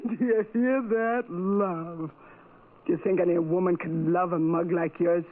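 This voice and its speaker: An actress playing a brassy, mercenary young carnival performer in an old radio drama, with slangy, hard-boiled speech. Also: female voice, fairly low pitch, slow. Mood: scornful, mocking. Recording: noisy, narrow-band old radio recording.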